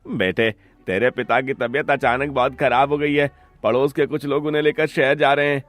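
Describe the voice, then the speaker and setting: A man's voice: mid-range voice, fairly even intonation, normal speed, fairly bright tone, faint music behind, natural voice. Man playing greedy, scheming village labourer villain. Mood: falsely distressed. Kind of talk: cartoon story voice acting.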